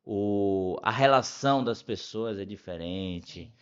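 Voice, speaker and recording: A middle-aged man with a Bahian Brazilian accent telling stories casually as a podcast guest, often pausing and repeating words as he thinks. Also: fairly low pitch, smooth, muffled recording. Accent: Bahian Brazilian Portuguese. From Salvador, Bahia, Brazil. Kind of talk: casual conversational storytelling.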